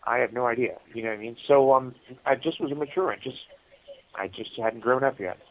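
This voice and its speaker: A middle-aged American man speaks over a narrow, muffled phone line. His pitch is average, and he tells his story in a casual, halting way, padded with conversational asides. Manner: halting, conversational, repeats "you know". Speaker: prisoner interview guest calling from prison. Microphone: telephone. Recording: muffled phone call from prison.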